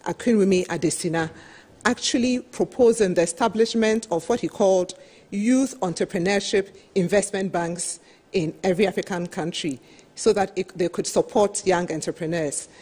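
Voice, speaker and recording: A middle-aged woman with a slightly husky voice, giving an emphatic, explanatory business presentation as a panel speaker, often saying "you know". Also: mid-range voice, moderate pace, darkish tone. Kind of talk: business presentation at a televised roundtable. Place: television studio, seated at a desk.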